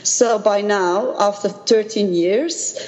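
A woman from Belgium, a native Dutch speaker, recounting her experiences as an interview guest in a measured, earnest way. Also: mid-range voice, darkish tone. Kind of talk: personal recollection in an interview. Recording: dull sound with long reverb.

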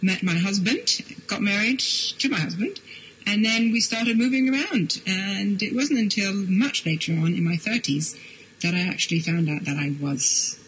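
A woman's voice: medium pitch, normal speed, a bit bright, slight background noise, rough recording. Woman with a British-leaning accent. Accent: British-leaning English. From Switzerland. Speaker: interviewee. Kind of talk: recorded personal account.

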